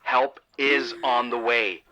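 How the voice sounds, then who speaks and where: An actor playing a calm emergency dispatcher, steady and reassuring. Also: male voice, mid-range voice, takes it slowly, lightly acted. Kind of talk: audio drama acting.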